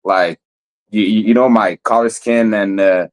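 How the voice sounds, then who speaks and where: A man's voice: lowish voice, steady tone, a little slow. A young man from Montreal, a fighter guest in a casual interview, who stammers and repeats words often. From Montreal, Canada.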